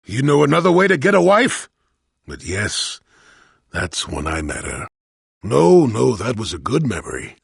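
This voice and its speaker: A man playing a crotchety, wry old hermit in a video game, with a gravelly, husky voice and a sardonic storyteller's manner. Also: mid-range voice, wildly swooping pitch, measured pace, no echo, studio quality, exaggerated voice. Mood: defensive, sardonic. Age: older. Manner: cantankerous, sardonic, rambling storyteller. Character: crotchety old male hermit, former Jedi, wry and weary. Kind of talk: video game character acting.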